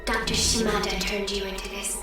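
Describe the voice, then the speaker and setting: An actress playing a haunting, vengeful dream voice in a video game, hushed, ominous and insistent. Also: female voice, mid-range voice, flat delivery, a little fast, close to an everyday voice. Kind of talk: scripted video game voice acting. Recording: very echoey game audio under music.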